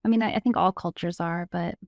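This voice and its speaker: A woman's voice: fairly low pitch, steady tone, fast talker, a little mellow, no room sound, muffled recording. A woman of Italian heritage hosting a podcast, asking curious, prompting questions in a casual chat. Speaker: podcast host.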